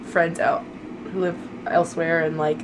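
A woman's voice: fairly low pitch, little pitch movement, moderate pace, background noise. A woman in her twenties chatting casually and ramblingly to viewers as a vlogger, filmed at arm's length. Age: 20s. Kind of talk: casual vlog chat to viewers. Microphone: handheld camera at arm's length.

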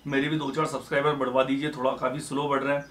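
A man's voice: medium pitch, little pitch movement, racing, fairly bright tone, slight background noise. A man in his thirties, a YouTuber talking straight to camera in an earnest, explanatory way, calling his viewers friends. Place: indoor room with sofa and cushions.

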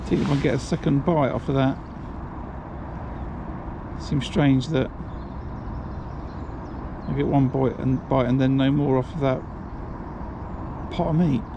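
A man's voice: mid-range voice, measured pace, long pauses. A man giving a casual running commentary to himself while fishing by a pond, recorded on a body-worn camera. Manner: relaxed, muttering to himself, calls out to a friend. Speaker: angler vlogger. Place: outdoors by a pond. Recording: outdoor recording with noticeable wind and ambient noise.

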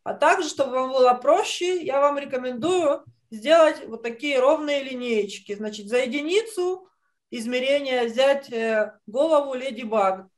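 A middle-aged woman teaching children drawing step by step over a video call, explaining patiently and haltingly. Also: high-pitched. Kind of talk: step-by-step online lesson instruction. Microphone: computer mic on video call.

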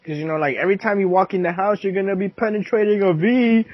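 A man's voice: high-pitched, steady tone, fast talker, no echo, lo-fi sound. A young man chatting while playing a block-building game, teasing and laughing with his friend. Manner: playful, teasing, laughs often. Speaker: gamer. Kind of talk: casual gaming chat.

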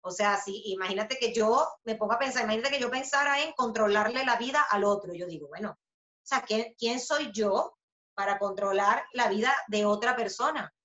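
A woman in her thirties with a Venezuelan accent, answering interview questions in a casual, animated way, with many fillers and restarts. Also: on the high side, moderate pace. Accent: Venezuelan Spanish. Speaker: interviewee, author and journalist. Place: home room with a book poster. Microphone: video call webcam. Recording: roomy, slightly dull webcam audio.

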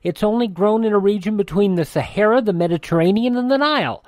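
A woman's voice: mid-range voice, fast talker, darkish tone, no echo. A woman radio host reading scripted introductions and sponsor spots in a studio. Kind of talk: scripted radio hosting and ad read.

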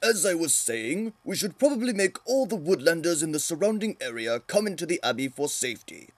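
A man's voice: a little high, very animated, a little fast. A man voicing a solemn, stubborn mouse abbot in a cartoon parody dub, formal and insistent.

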